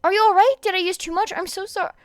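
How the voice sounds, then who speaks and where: Woman voicing an eager, high-pitched kind teenage boy. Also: fairly even intonation, quick pace, no room sound, clean studio sound. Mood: anxious, apologetic. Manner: fretful, rambling, apologetic.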